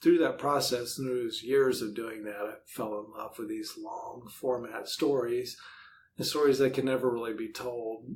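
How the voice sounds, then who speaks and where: A man's voice: mid-range voice, steady tone, normal speed, darkish tone. Conversational man with faint background noise. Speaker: podcast host and author being interviewed. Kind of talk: casual conversational interview.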